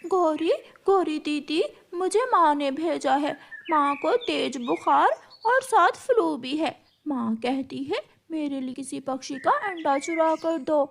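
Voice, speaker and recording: Cartoon young crow, played by a woman: high, excitable, pleading little sister. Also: takes it slowly, vocal fry, few pauses, a little hiss or hum, heavily acted. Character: young female crow, obedient little sister and thief's helper. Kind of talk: Urdu cartoon voice acting.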